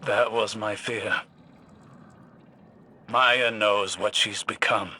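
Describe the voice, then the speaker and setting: A man playing a grave, resolute mentor in a video game, speaking in a solemn, measured, authoritative way. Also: on the low side, fairly even intonation, sharp tone, slightly rough, exaggerated voice. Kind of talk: dramatic video game acting.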